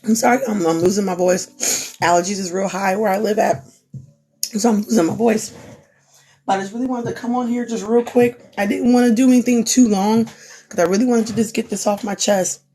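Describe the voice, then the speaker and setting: A woman's voice: mid-range voice, steady tone, a little fast, dark tone, breathy edge. A young woman who is losing her voice gives an earnest, repetitive pep talk on a live stream. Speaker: small business owner, crafter. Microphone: phone.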